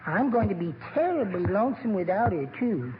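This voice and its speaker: A meek little husband, mild and overly polite in 1940s radio comedy style. The delivery is very animated, on a dull recording with clear noise. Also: male voice, high voice, brisk.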